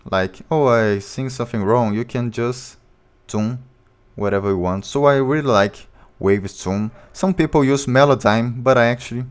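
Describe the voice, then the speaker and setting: A man with a low voice, a music producer walking through his vocal chain step by step in a plain, informal tutorial. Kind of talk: tutorial walkthrough.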